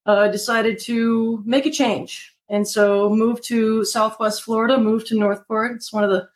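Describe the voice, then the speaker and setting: A woman's voice: a little high, level tone, a little slow. An American woman from Maryland speaking as an interview guest, a city manager talking conversationally and with upbeat conviction. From Maryland, United States.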